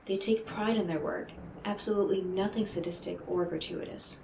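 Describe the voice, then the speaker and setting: A woman playing an enigmatic, smooth-talking otherworldly stranger in an audio roleplay, speaking in a coaxing, knowing, theatrical way with dramatic pauses. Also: mid-range voice, dark tone, natural voice. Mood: amused, explanatory. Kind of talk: scripted audio roleplay monologue addressed to the listener. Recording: roomy echo, clearly noisy background.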